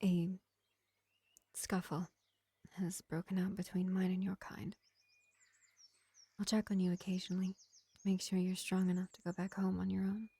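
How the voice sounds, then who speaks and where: A woman voicing a kindly vampire field medic in a soothing, unhurried, whispery roleplay addressed to the listener. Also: on the low side, level tone, warm tone, slightly rough, dry recording. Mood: soft, concerned. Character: gentle, kindly vampire field medic tending a wounded elf.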